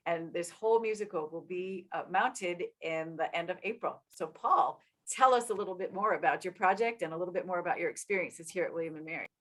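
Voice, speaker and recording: A middle-aged woman, a music professor, speaking earnestly and heartfelt on a panel, introducing a student. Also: fairly low pitch. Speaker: music professor and choir director. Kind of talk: panel discussion.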